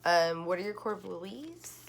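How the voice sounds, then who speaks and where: A woman with a notably low voice talks through a tarot reading. She rambles in a loose, chatty way, piling up fillers and restarting her sentences. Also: expressive, a little slow, gravelly, slight background noise, low-quality recording. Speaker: tarot card reader. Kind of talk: casual online tarot reading.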